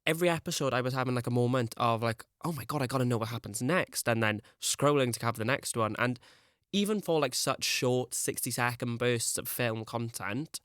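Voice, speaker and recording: A man's voice: medium pitch, brisk, fairly bright tone, smooth, no echo, clean studio sound. A young man, chatting as a podcast guest, rambling and full of "like". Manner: rambling, peppered with "like". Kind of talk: casual chat.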